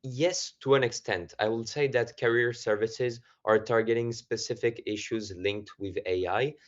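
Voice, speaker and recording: A young man from France with a French accent, giving measured answers as a student guest in an interview. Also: mid-range voice, fairly even intonation. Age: young adult. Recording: slightly muffled audio.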